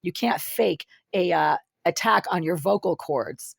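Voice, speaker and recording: Low raspy woman, intense and accusing. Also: a little slow, no room sound, clean studio sound. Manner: intense, accusing, long run-on sentences. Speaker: vlogger. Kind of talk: solo monologue to camera. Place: her apartment, sitting in the dark.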